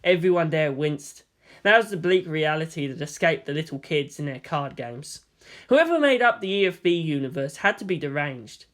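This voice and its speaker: Audiobook-style narrator, mid-pitched voice. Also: expressive, moderate pace, a bit bright. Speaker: story narrator. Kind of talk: dramatised fan fiction reading.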